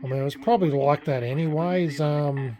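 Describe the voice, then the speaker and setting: A man's voice: on the high side, fairly quick, dark tone, muffled recording. An Australian man chatting casually in a vlog, rambling and self-deprecating as he talks in a blunt way. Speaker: vlogger restoring a vintage radio.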